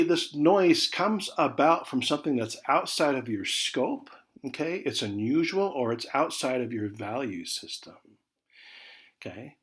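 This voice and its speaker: An American man with a mid-pitched voice teaches an online college class. He talks informally, as if explaining directly to students. He leans on important words, then lets his phrases drop away softly. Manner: conversational, emphatic key words trailing off into soft check-ins like "okay". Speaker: college communication instructor.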